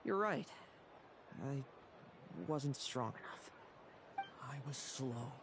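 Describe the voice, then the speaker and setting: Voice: mid-range voice, animated, takes it slowly, noisy background. An actor voicing an earnest, self-doubting young knight in a video game. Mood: dejected. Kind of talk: video game character dialogue.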